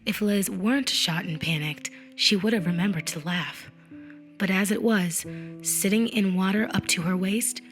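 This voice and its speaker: A woman narrates a literary audiobook in a deliberate, expressive storytelling manner, with phrase endings that drop to a hush. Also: mid-range voice. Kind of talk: dramatic literary audiobook reading. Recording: musical effects mixed under the voice. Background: music and effects.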